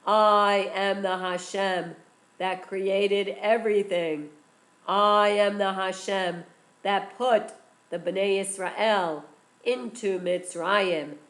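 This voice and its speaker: A woman voicing a powerful male divine voice speaking from a burning bush, slow, loud and commanding. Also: mid-range voice, lightly acted.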